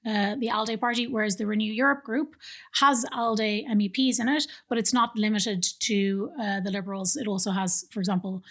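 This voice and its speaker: A woman's voice: medium pitch, steady tone, fairly quick, fairly bright tone. Irish woman, middle-aged, podcast guest; explanatory, hesitant phrasing, close stand mic in a homey room. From Ireland. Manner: explanatory, many fillers and restarts. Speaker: guest, think tank director. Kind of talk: conversational interview. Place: living room studio with plants and books. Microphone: studio mic on stand.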